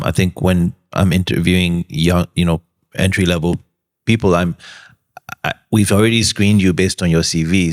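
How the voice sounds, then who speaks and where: A man answering questions on a panel, giving earnest, measured advice with frequent pauses and ums. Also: low voice. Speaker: alumnus panelist.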